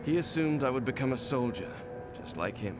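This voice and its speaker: An actor as an idealistic fantasy game scholar. His medium-pitched voice is earnest and reflective, with clear music behind. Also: animated, brisk, lightly acted. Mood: reflective, proud. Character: male Horadrim scholar, earnest, proud, idealistic. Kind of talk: video game cutscene acting.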